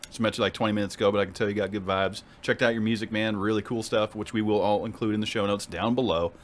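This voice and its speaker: A man's voice: lowish voice, steady tone, fast talker, a little hiss or hum. A man from New England hosting a podcast in a studio, talking in an upbeat, easygoing way and laughing often. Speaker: podcast host. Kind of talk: casual chat.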